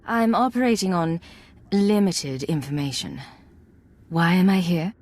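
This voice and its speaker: A woman playing a cool, probing secret agent in a video game, composed, dry and measured. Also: fairly low pitch, animated, a little mellow. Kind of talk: cinematic video game dialogue.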